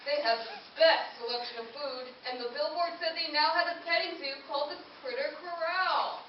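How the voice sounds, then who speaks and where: A woman's voice: high voice, moderate pace, natural voice. A woman voicing a quirky, eager pet dog who chattily tells his own story in a children's puppet show. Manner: chatty, confiding, addresses the listener. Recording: roomy, distant, dull audio with hiss.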